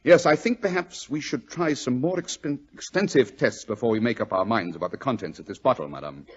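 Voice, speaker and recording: A British gentleman detective of vintage radio mystery, dry and ironic. His slightly rough voice rises and falls expressively as he ponders with great self-assurance, all on a dull, lo-fi recording. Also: medium pitch, animated, fairly quick. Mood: wry, pondering. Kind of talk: old-time radio drama acting.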